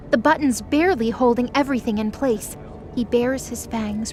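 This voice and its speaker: High-voiced woman narrating over music. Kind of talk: scripted story narration.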